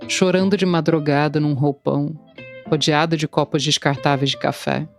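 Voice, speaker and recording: A woman's voice: low-pitched, steady tone, normal speed, faint music behind. A woman in her thirties, a translator, telling her own story in wry, confessional scripted narration. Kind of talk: scripted first-person narration.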